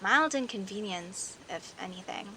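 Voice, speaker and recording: Woman acting a bright, unhurried, teasing werecat neighbor. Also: mid-range voice, lively intonation, slight background noise, low-quality recording. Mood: relaxed, curious. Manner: playful, conversational, with hesitant pauses and theatrical emphasis. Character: friendly teasing young werecat woman, chatty neighbor telling her story. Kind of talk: audio roleplay, casual one-sided conversation with the listener.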